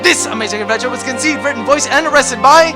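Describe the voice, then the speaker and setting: Announcer, high-pitched, scripted sign-off and credit read over a music bed. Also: fast. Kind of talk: scripted outro and credits reading. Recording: music under the voice.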